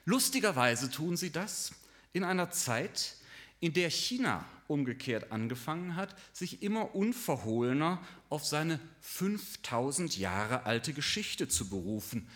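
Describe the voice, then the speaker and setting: An older man, a professor, giving a formal lecture in a measured, dryly ironic way, sometimes halting on fillers. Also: fairly high pitch, a bit bright. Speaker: professor of Chinese studies.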